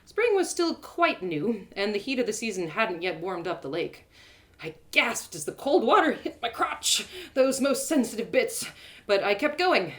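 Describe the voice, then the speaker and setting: Woman reading her own story out loud, super animated, swoopy voice. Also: medium pitch. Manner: expressive, animated narration. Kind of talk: one-woman audio drama reading.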